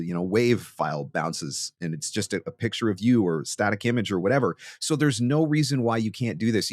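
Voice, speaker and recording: A man hosting an instructional monologue, talking with emphatic, deliberate stress on key words. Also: lowish voice, no room sound, clean studio sound. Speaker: host, voice actor teaching marketing.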